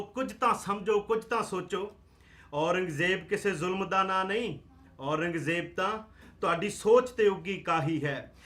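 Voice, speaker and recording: An actor playing a defiant truth-teller who exhorts cowardly masses in declaimed, rhetorical stage verse. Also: male voice, high voice, pushed and bright. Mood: scornful exhortation.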